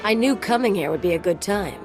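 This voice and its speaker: A woman's voice: medium pitch, lively intonation, fairly quick, close to an everyday voice. A woman playing a cocky, sardonic mercenary in a video game, wry, cool and teasing. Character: cocky, sardonic female mercenary, tough and flirtatious. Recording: music under the voice.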